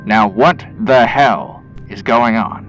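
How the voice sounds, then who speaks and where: A man voicing a gruff, stubborn older fisherman in a horror radio story, blunt and folksy in manner. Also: medium pitch, takes it slowly, fairly bright tone, highs cut off, faint music behind, pitched above the performer's own voice. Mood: confrontational.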